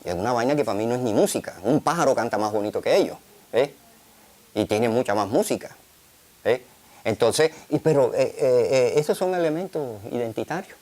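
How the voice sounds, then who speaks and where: A man's voice: mid-range voice, lively intonation, fairly quick, darkish tone. A man from Colombia's Caribbean coast with a coastal accent, talking in a documentary interview, full of fillers and tag questions. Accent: Colombian Caribbean Spanish.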